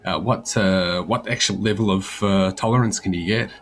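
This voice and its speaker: A man's voice: sits low, fairly even intonation, normal speed, fairly bright tone, slight background noise. A man asking detailed technical questions as a panelist in a discussion.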